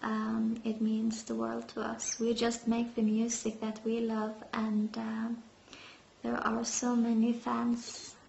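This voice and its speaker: A woman vocalist answering interview questions, halting with many fillers. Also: a little high, monotone, gravelly, dark tone, a little hiss or hum. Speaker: metal band vocalist. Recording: roomy, dull lo-fi sound.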